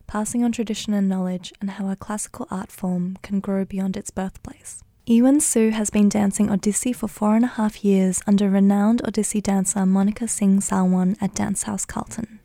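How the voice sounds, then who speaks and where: A woman's voice: medium pitch, fairly even intonation, moderate pace, hardly any pauses, mellow, no echo, clean studio sound. A woman hosting a radio current affairs program, presenting scripted introductions and putting questions to guests. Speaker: radio host and interviewer.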